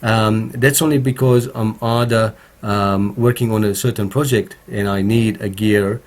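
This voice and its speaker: A man with a slightly croaky voice hosting a casual live stream from his home studio, talking slowly with many pauses and fillers. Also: fairly low pitch, fairly even intonation. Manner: slow and halting, many fillers. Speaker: home studio streamer answering viewer questions.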